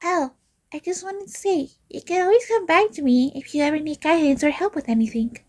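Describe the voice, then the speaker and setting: A woman voicing a gentle, courteous young girl leader in an amateur fantasy game dub. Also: sits high, brisk, pitched above the performer's own voice, lightly acted. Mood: gentle, polite.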